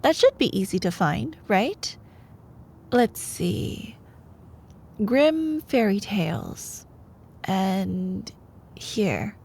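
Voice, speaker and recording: A young woman playing a sweet, teasing girlfriend in an intimate ASMR roleplay, soft and playful, sometimes dropping toward a breathy whisper. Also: medium pitch, lively intonation, extremely slow, long pauses, a little hiss or hum, heavily acted. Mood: playful, affectionate. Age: young adult. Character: sweet, teasing young girlfriend, a sleepy-hours college student. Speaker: ASMR voice performer.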